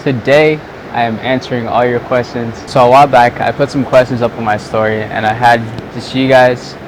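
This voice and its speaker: A man's voice: mid-range voice, fairly even intonation, moderate pace. A young man from Southern California, a vlogger and photographer, chatting loosely to the camera outdoors at sunset, rambling with lots of "like"s. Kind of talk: casual chat to camera. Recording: outdoor noise with traffic.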